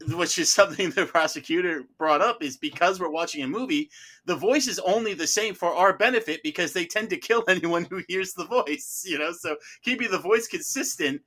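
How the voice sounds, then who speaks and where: A man with a very bright, punchy voice argues about movies as a podcast panelist. He is animated and emphatic, often repeating phrases to drive them home. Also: on the high side. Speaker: panelist on a mock-trial film podcast. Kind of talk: casual film debate.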